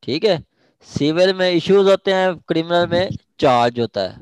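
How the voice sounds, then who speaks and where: A man's voice: high-pitched, lively intonation, moderate pace, clear, resonant tone, no room sound. A man with a Pakistani accent teaching an interactive online law class, often checking that students follow. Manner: checks understanding with repeated short questions.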